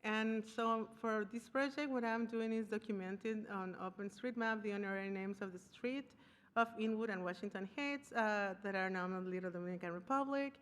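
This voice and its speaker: Woman speaker from Costa Rica, conference presentation, halting and searching for words, long hall reverb. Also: medium pitch. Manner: searching for words, frequent restarts and fillers. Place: conference room.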